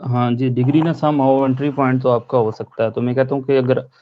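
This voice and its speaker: A man's voice: mid-range voice, flat delivery, moderate pace, dull audio, a little hiss or hum. A man taking part in an online class, asking questions and briefly acknowledging the instructor's answers. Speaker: class participant.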